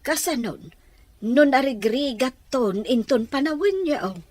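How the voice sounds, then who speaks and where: A woman's voice: sits high, expressive, measured pace, a little mellow, no room sound. A woman with an Ilocano Filipino accent playing a worried mother giving advice in a radio drama. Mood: worried, advising. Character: worried, advising mother of a student.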